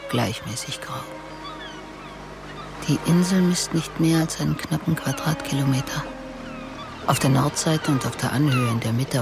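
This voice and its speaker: A woman playing an observant, dry police detective in a radio crime drama, speaking in a clipped, matter-of-fact way. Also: very deep voice. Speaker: actress playing the lead detective and narrator. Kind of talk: radio crime drama, terse inner monologue and questioning.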